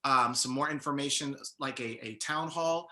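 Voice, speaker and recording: A man's voice: mid-range voice, moderate pace, sharp tone. An American man hosting an interview in a conversational way, introducing points and putting questions to guests. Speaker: interview host.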